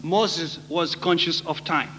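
A man from Haiti with a Haitian accent, preaching in short phrases with frequent halting pauses for an interpreter. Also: on the high side, fairly even intonation, sharp tone, husky. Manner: halting, pausing often. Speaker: guest preacher. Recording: noisy echoey hall with hum.

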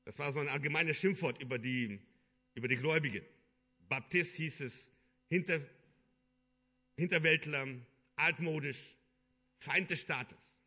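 A man from Kyrgyzstan preaching a sermon in an earnest, halting way, with many fillers and restarts. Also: on the high side, sharp tone.

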